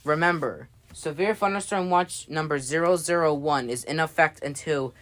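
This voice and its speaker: An emergency alert announcer delivers scripted warnings in a medium-pitched voice. The delivery follows the format of an official bulletin. The sound is dry, with very little room around the voice. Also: normal speed, a bit bright, no room sound.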